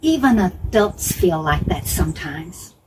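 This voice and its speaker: Children's Bible storyteller, woman: very animated, unhurried, simple phrasing with repetition, faint noise behind. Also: on the low side. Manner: simple phrases, repetition for emphasis, questions to listeners.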